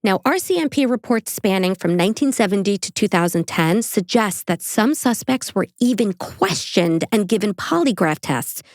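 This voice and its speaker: A woman's voice: medium pitch, expressive, moderate pace, hardly any pauses, dry recording, clean studio sound. A woman with a New York accent hosting a true crime podcast, reading the case and breaking off for casual, joking asides.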